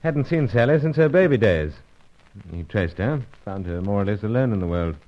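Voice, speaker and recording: A low male voice of an actor plays a gallant, guarded British agent in an old radio adventure. The delivery is clipped, gentlemanly and hesitant, with animated swings of pitch. The recording is dull and dated. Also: normal speed, lightly acted. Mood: guarded, dry. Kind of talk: old-time radio adventure serial acting.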